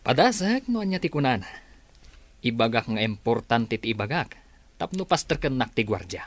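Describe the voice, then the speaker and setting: A man's voice: medium pitch, big pitch swings, normal speed, no room sound. An actor playing a scheming, hot-tempered businessman in a radio drama, sneering and demanding.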